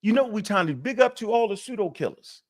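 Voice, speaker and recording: A man co-hosting a live online talk, speaking in an animated way full of streetwise slang and quick rhetorical asides. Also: high voice. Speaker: co-host. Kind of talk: casual live-stream chat.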